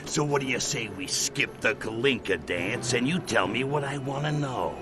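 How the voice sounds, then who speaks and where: An actor playing a cocky, irritable alien invader in a video game, sarcastic and brash, full of sneering wisecracks about humans. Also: male voice, mid-range voice, lively intonation, normal speed, loud music behind the voice, lightly acted. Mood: cocky threatening. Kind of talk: video game cutscene voice acting.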